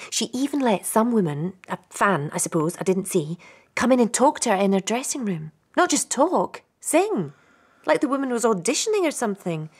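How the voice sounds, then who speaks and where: A woman's voice: a little high, big pitch swings, moderate pace, a little mellow, breathy edge. A woman acting in a radio drama as a defensive, gossipy stage actress being questioned, speaking expressively. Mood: confiding, gossipy.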